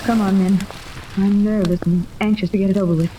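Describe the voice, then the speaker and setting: A woman's voice: mid-range voice, fairly even intonation, fairly quick, dark tone, close to an everyday voice. An actress playing a nervous but plucky woman adventurer in a 1930s radio serial, with earnest, hesitant phrasing. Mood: nervous, resolute. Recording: noisy old radio, rain ambience over it.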